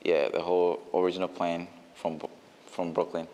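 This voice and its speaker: Very deep-voiced man, halting speech. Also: level tone, warm tone, big-room sound, muffled recording, a little hiss or hum. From Uganda. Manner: hesitant, many fillers and restarts. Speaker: brand founder. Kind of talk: casual interview answers.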